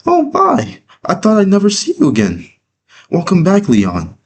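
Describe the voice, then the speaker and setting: A man voicing a doting, teasing elderly grandmother in a gushing, gently chiding way for a dramatic storybook reading. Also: high voice, wildly swooping pitch, measured pace, slightly rough, voice pushed up, full character voice. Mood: fussing, relieved. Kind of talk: dramatic storybook read-through.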